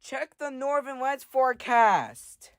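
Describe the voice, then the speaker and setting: A woman playing an excitable space weather watcher in an amateur disaster drama, melodramatic and given to exclaiming. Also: sits high, takes it slowly, dry recording. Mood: uneasy. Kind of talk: amateur disaster scenario acting.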